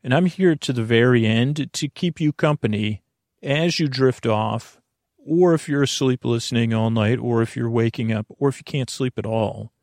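A man with a creaky voice hosting a bedtime podcast, rambling through a meandering introduction in a halting, digressive way, repeating and smushing words. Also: mid-range voice, a little mellow, no room sound, clean studio sound. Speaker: podcast host.

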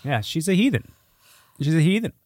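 A man chatting casually as a podcast co-host. His pitch is lively and swings widely, and he jokes in a crude, irreverent way. The sound is dry, with little room. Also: brisk, no echo. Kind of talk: casual comedic chat.

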